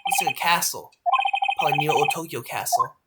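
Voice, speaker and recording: A woman voicing a dry, sardonic young male lawyer with deadpan muttered asides in an amateur game fandub. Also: sits low, fairly quick, very noisy, pitched below the performer's own voice, lightly acted. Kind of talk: amateur visual novel fandub.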